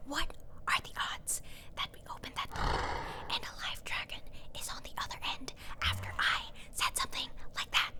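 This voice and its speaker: A woman playing a snarky, exasperated sorceress adventurer in a fantasy comedy audio roleplay, sarcastic and nitpicky, stressing and stretching her words. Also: pushed and bright. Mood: hushed, alarmed. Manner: sarcastic, scoffing, emphatic with drawn-out words.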